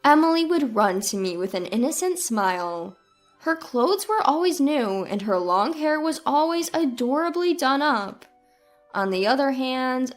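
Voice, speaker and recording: A woman reading a scripted first-person story as its narrator. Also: high voice. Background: background music.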